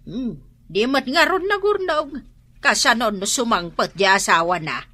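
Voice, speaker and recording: A woman's voice: a little high, lively intonation, a little slow, bright, forceful tone, dry recording, full character voice. A woman playing a plain-spoken, feisty middle-aged country wife in an Ilocano radio drama, with an Ilocano Filipino accent. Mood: reproachful.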